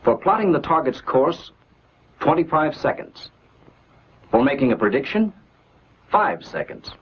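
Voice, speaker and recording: A man narrating a scripted 1940s military training film in a measured, instructional, declarative manner on an old film soundtrack. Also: fairly high pitch, big pitch swings, highs cut off, a little hiss or hum.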